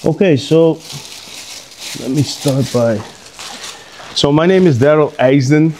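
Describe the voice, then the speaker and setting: A man's voice: a little high, slow, mellow, a little hiss or hum. A middle-aged man from Curaçao giving an informal artist talk to an audience, rambling and hesitant, with many fillers and restarts.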